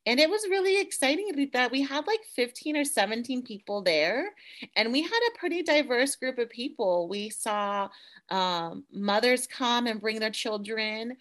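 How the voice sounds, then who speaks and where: A woman co-hosting a casual podcast, chatting in an animated way and slipping into Spanish now and then. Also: medium pitch. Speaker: podcast co-host. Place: Central Coast, California.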